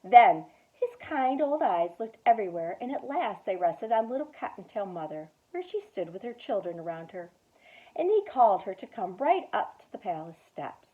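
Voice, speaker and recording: A woman youth director reading a children's story aloud at storytime, expressive, with whispered asides. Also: medium pitch, normal speed. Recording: tinny phone-like sound.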